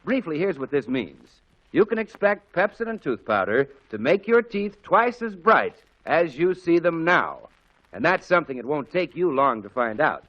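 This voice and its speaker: A male announcer on old-time radio delivers scripted lines with polish and emphasis. His fairly high voice is very lively, heard through dull, lo-fi sound. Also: moderate pace. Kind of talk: 1940s radio advertising and scripted narration. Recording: dull 1940s radio broadcast with music.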